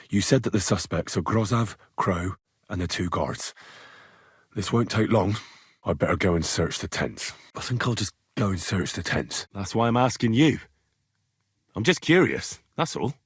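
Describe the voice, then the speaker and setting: An actor playing an earnest, inquisitive young medieval blacksmith turned adventurer in a video game, speaking in a plain-spoken way. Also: male voice, fairly low pitch, big pitch swings, moderate pace, husky, dry recording, studio quality, heavily acted. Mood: matter-of-fact, probing. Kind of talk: video game dialogue lines.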